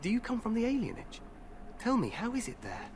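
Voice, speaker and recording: A man's voice: very high-pitched, wildly swooping pitch, a little fast, darkish tone, a little hiss or hum, exaggerated voice. Hearty overconfident young king. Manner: hearty, regal.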